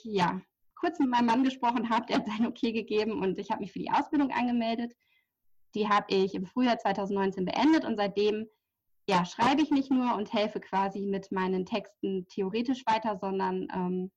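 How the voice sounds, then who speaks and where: A woman's voice: medium pitch, a little mellow. A woman in her thirties from near Hamburg talks as a podcast guest. Her voice sits mid-range, and she speaks in a chatty, easygoing way with frequent fillers and small laughs. The sound is slightly dull and lo-fi. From near Hamburg, Germany. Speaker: podcast guest, journalist and horse therapist. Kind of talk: casual interview talk.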